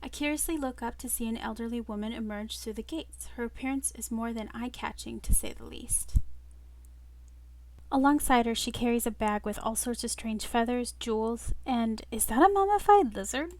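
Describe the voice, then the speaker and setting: A woman reading a visual novel aloud as expressive first-person story narration. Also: a little high, moderate pace. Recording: music under the voice. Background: clear background music.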